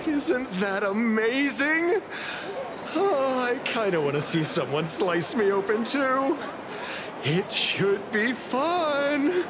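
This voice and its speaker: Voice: mid-range voice, expressive, takes it slowly, noisy background. An actor playing a chatty townsperson in a video game who is blissfully, eerily content. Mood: eerily delighted. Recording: loud music behind.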